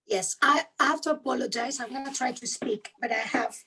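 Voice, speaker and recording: A woman from Spain with a strong Spanish accent, a guest attorney answering interview questions quickly and earnestly, often restarting as she searches for English words. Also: high voice, vocal fry, bright, forceful tone, husky. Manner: fast, earnest, self-correcting, searches for words. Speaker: guest, human rights attorney. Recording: distant, garbled video call audio.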